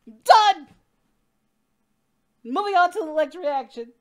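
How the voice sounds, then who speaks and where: A performer voicing an excitable young male anime hero, hosting a reaction video with melodramatic yelling and wailing. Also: very high voice, takes it slowly, a little hiss or hum, exaggerated voice. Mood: outraged. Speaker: reaction channel host. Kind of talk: over-the-top reaction commentary. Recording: music playing behind.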